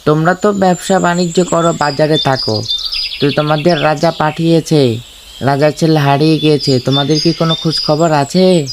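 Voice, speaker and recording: A man's voice: a little high, steady tone, normal speed, noisy background, natural voice. A man voicing an anxious royal guard in a cartoon, questioning people insistently. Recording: loud music behind the voice.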